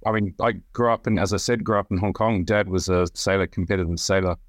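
Deep-voiced New Zealand man, relaxed. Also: quick pace, no room sound, clean studio sound. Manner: relaxed, rambling with many restarts and fillers. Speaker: guest, brand director. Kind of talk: casual podcast interview.

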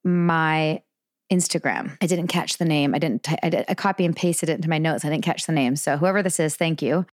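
Low-pitched woman podcaster, close and dry. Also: fairly quick, dry recording. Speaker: podcast co-host. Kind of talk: casual conversational podcast discussion.

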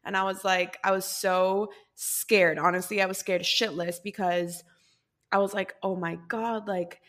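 A woman's voice: mid-range voice, little pitch movement, a little slow, a bit bright. A woman hosting a podcast, talking in a chatty, rambling way with many fillers and asides. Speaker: podcast host. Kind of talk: casual podcast conversation.

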